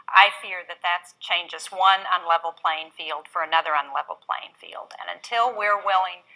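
A middle-aged woman on a panel stage, speaking deliberately through a lapel mic. Her voice is fairly low and bright. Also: rough recording. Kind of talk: panel discussion.

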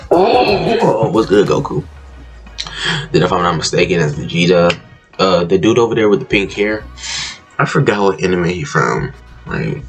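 Groggy deep-voiced young man. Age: young adult. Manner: laid-back, chuckling, repeats "bro". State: just woke up, groggy morning voice. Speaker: reaction channel host. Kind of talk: casual reaction video. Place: bedroom, indoors.

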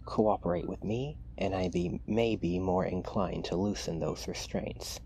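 Man acting a deep, gravelly mad scientist. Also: lively intonation, measured pace, slight background noise, heavily acted, low-quality recording. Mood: threatening. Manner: clinical dictation mixed with hushed, taunting asides. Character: cold, menacing, possessive male mad scientist. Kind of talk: ASMR roleplay acting.

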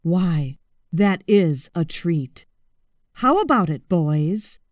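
Woman as a male crocodile, children's storybook, polite, sweet-craving, slow drawl of relish, lowered pitch. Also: big pitch swings, a little mellow, no room sound, clean studio sound, exaggerated voice. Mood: delighted. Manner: slow, savouring, drawn-out politeness.

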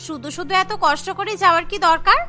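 A woman's voice: extremely high pitch, fast talker, sharp tone, soft background music. A woman voicing a clever, whiny young sister-in-law in a village cartoon, emphatic and plaintive. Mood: indignant. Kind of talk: Bengali cartoon dialogue.

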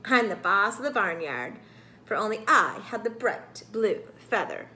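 A woman's voice: mid-range voice, lively intonation, a little slow, sharp tone, echoey room. A woman voicing a boastful, indignant rooster in a storybook, with a proud, squawking delivery.

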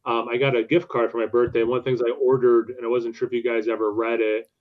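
A man in his thirties in relaxed podcast conversation, speaking from home on a video call. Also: medium pitch, fast talker. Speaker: podcast co-host. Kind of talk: casual chat. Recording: slightly dull lo-fi call audio.

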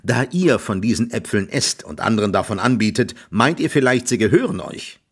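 A man voicing a gruff, stout middle-aged farmer who scolds and threatens in a rough voice in an audiobook. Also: on the low side, lively intonation, a little fast. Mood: gruff, threatening. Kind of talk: audiobook character voice.

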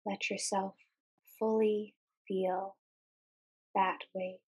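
A woman leading a guided meditation in a calm, soothing, soft voice, speaking in short phrases with pauses between them. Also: medium pitch, breathy edge.

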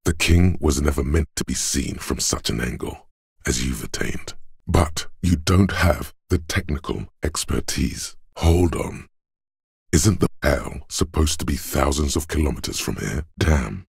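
A cool, superior voice of pure reason for a video game. It is extremely deep and heavily husky, full of gravel. The delivery is measured, deliberate and dryly analytical, like dramatic narration. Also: male voice, lively intonation, no echo, clean studio sound, full character voice. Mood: wry, urging. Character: cool, analytical inner voice of Logic, dry and superior.

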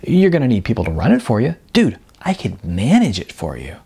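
A man's voice: medium pitch, wildly swooping pitch, fairly quick, creaky, warm tone, slightly rough, heavily acted. A man voicing a hopeful young male musician looking for work in an audiobook, earnest, hesitant and pleading. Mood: eager.

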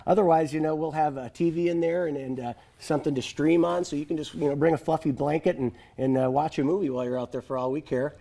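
A man's voice: a little high, fast talker, vocal fry, hardly any pauses. A man in his thirties, a fishing guide, chatting casually in a TV studio interview, with lots of "uh"s and restarts and frequent chuckles. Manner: relaxed and chatty, many "uh"s and restarts, chuckles often. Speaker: fishing guide and business owner. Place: TV studio set. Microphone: lapel mic.

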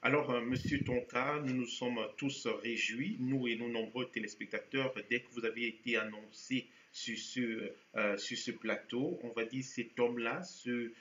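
A middle-aged man presents a talk show from a studio, pausing often and restarting his sentences. His voice sits in a roomy space. The sound is dull, with a faint hiss behind it. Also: mid-range voice, steady tone, a bit bright. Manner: frequent hesitations and restarts. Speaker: TV show host. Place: TV studio set with virtual backdrop.

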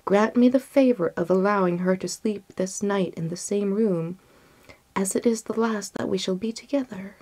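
A woman's voice: medium pitch, moderate pace, mellow, lightly acted. A woman voicing a composed female storyteller in a storybook, formal and respectful as to a ruler. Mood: deferential. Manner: formal, deferential.

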